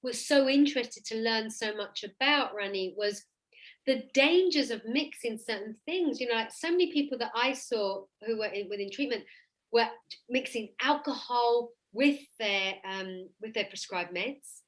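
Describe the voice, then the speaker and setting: A woman's voice: fairly high pitch, normal speed, a bit bright, poor audio. A woman hosting a casual conversation, talking informally from her own working experience. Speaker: host, therapeutic coach.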